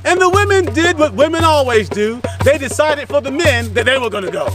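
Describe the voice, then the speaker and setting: High-pitched, animated storyteller over loud music. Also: a little fast. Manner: theatrical, expressive, emphatic. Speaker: storyteller and host. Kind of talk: animated children's storytelling with sung call-and-response. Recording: hip hop music under the voice.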